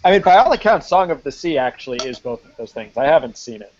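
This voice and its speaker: A man's voice: a little high, big pitch swings, fast talker, darkish tone, slight background noise. Man chatting casually, swearing, muffled stream audio. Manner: swears freely, lots of "like" fillers. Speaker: film site writer hosting a live-stream chat. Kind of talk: casual live-stream chat. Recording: muffled online call audio.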